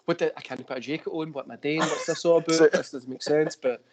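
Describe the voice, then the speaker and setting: A man's voice: a little high, fairly even intonation, brisk, background noise. Chatty Glaswegian man hosting a podcast. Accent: Glaswegian Scottish. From Scotland. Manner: rambling, laughs often, swears. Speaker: podcast host. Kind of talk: casual wrestling podcast chat.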